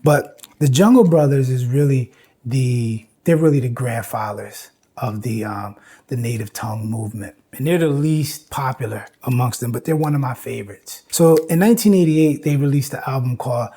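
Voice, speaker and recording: A man's voice: medium pitch, normal speed, warm tone, husky. An American man in his fifties giving informal educational commentary, emphatic and repeating phrases to drive points home. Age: 50s. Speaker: video essay host.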